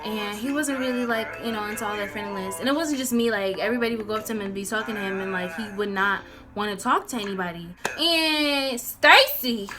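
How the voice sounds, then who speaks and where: A woman's voice: mid-range voice, fairly quick, few pauses. A young woman telling a casual, comedic story in a sassy, dramatic, slangy way, acting out the dialogue. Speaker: storytelling vlogger. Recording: music playing behind the voice. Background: clear background music.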